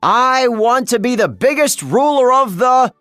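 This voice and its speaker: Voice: medium pitch, lively intonation, unhurried, fairly bright tone, no echo, clean studio sound, soft background music, voice pushed up. A storybook reader voicing a bumbling, theatrical male cartoon mad scientist who scheme-talks in a grandiose, rambling, exasperated way. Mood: indignant, determined.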